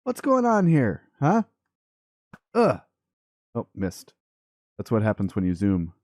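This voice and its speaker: A man's voice: on the low side, wildly swooping pitch, slow, a little mellow, dry recording, clean studio sound. A man hosting a gaming let's play, chatting playfully and casually to viewers and game characters. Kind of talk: upbeat casual gameplay commentary.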